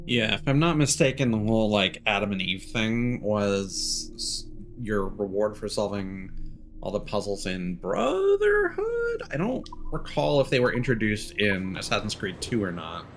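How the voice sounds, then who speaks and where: Low-voiced man with lively, swinging intonation. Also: normal speed, a little hiss or hum. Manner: musing, conversational. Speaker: game streamer. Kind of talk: casual commentary on a video game.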